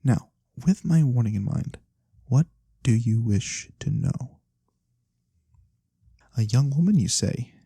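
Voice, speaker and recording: A performer voicing a mysterious, courteous fantasy lorekeeper in a soft-spoken ASMR roleplay, breathy and theatrical with knowing pauses. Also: medium pitch, animated, no echo, studio quality, heavily acted. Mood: coy, businesslike. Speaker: storyteller character.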